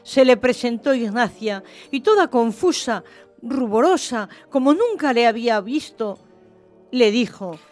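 A woman's voice: fairly high pitch, animated, measured pace, darkish tone, faint music behind. A woman narrating a literary story for radio theatre in a scripted reading style.

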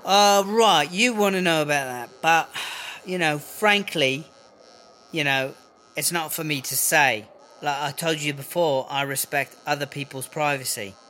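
A man as a bright, chatty, cocky prison inmate. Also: on the high side, unhurried. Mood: pained, evasive. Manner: rambling, slangy, British-style expressions. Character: cocky, chatty, foul-mouthed prison inmate being interviewed. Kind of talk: comedy audio drama mock interview.

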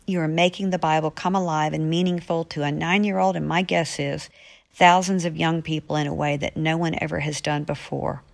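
Woman from the United States, slightly low pitch, effusive, earnest, podcast mail segment. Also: fairly bright tone. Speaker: author with a regular podcast segment. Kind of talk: reading and answering listener mail.

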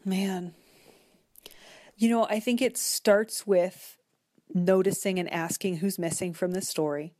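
A woman with a low-pitched voice talks as a guest in a conversational interview. She is reflective and hesitant, building long sentences and revising them as she goes. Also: dark tone. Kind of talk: conversational interview, literary discussion.

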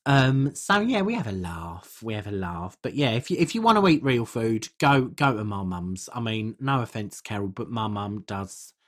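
A British woman playing an uncouth, food-obsessed podcast co-host, husky and rambling in colloquial, deadpan comic chat. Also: rumbling low, moderate pace, few pauses, heavily acted. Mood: breezy, deadpan. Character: uncouth, food-obsessed woman telling absurd tales earnestly.

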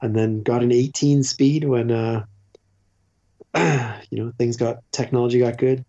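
Man, from Canada, 40s, rambling casual stories, mellow tone, dull audio. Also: medium pitch, steady tone, a little slow. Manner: rambling, self-interrupting, with frequent fillers and restarts. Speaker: podcast guest; teacher. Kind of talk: casual conversational storytelling.

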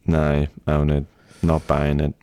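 A man's voice: rumbling low, monotone, slow, warm tone, smooth, no echo, studio quality. A man, co-hosting a comedy podcast, teasing bluntly and swearing in casual banter. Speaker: podcast co-host.

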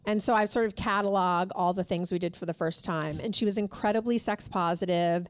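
A woman's voice: medium pitch, darkish tone, no echo. A woman in her fifties, a guest author, reads frankly from her memoir at a live taping and chats casually. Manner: frank and candid, reads with measured pauses. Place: live taping at a store event.

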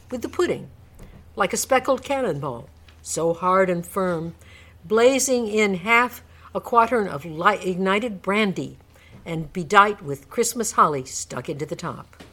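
A woman's voice: mid-range voice, pushed and bright. A woman narrator reading a classic story aloud in a dramatic scripted storybook style. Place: radio studio.

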